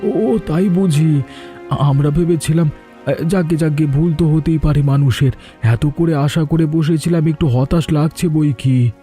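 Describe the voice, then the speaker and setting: Man voicing cartoon village shopkeeper, middle-aged, hospitable, very dark mellow tone, faint music. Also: a little high, unhurried, lightly acted. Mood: hopeful, downcast. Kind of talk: cartoon dialogue dub.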